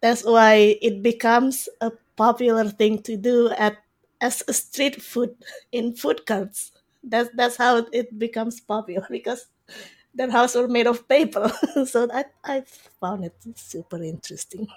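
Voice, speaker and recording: Slow-paced woman with an Indonesian accent. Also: on the high side. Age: 40s. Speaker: interview guest, software developer. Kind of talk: casual conversational explaining.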